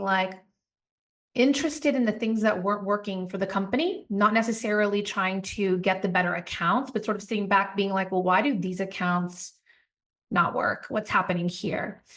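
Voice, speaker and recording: Reflective woman in her forties. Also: medium pitch. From Puerto Rico. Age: 40s. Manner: reflective, storytelling, animated asides. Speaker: guest, company founder. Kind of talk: podcast interview.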